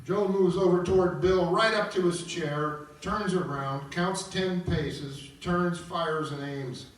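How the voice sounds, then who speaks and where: A man's voice: high voice, slow. A man reading stage directions aloud as the narrator of a musical table read. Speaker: narrator and singer of a self-written opera. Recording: distant, very echoey room with music.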